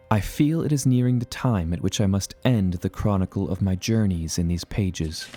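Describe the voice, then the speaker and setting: A man playing an earnest, reflective adventurer who narrates his journal in measured, literary audio drama storytelling. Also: on the low side, mellow. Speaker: narrating character. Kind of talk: scripted first-person audio drama narration.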